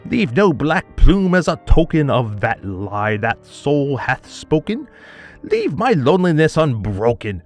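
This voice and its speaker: A man voice actor giving a theatrical poetry reading, swinging between hushed murmurs and anguished cries. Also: fairly high pitch, very animated, a little slow, faint music behind. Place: home studio.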